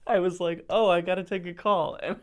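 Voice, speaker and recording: A man's voice: high voice, fairly even intonation, brisk. A young man co-hosting a podcast, chatting casually with many fillers and restarts, often laughing. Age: young adult. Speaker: podcast co-host. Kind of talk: casual chat.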